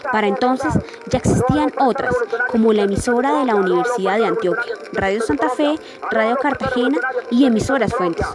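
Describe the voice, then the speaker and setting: A woman's voice: fairly high pitch, brisk, over a noisy crowd. A woman reading scripted documentary narration for a radio program. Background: loud music.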